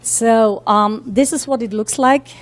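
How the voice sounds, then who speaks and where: Fast-talking woman lecturing with many fillers. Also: a little high. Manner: talks quickly with many fillers and self-corrections. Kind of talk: academic lecture. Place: university lecture room.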